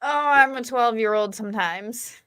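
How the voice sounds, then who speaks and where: A woman co-hosting a livestream in casual chat, excitable and playful. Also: on the high side, normal speed, clean tone. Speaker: livestream co-host.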